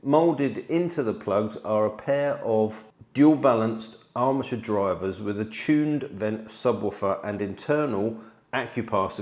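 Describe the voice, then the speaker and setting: A man's voice: mid-range voice, darkish tone. A middle-aged man explaining a product to camera in a conversational way, recorded indoors in a studio on a close mic. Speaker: product presenter. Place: indoor studio, seated at a table.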